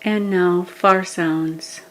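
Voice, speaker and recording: Woman, meditation guide, very slow pace, lowish pitch, gentle measured delivery, pauses between phrases. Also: steady tone, poor audio. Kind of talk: guided meditation, scripted instruction.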